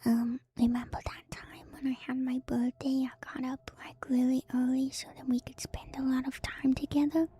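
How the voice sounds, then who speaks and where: A woman livestream host chatting in a soft ASMR style, moving between whispers and quiet speech, very close to the mic with audible mouth noises. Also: sits high, little pitch movement, moderate pace, few pauses, husky. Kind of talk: whispered ASMR stream chat. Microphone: very close microphone with gain turned up, mouth noises. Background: music.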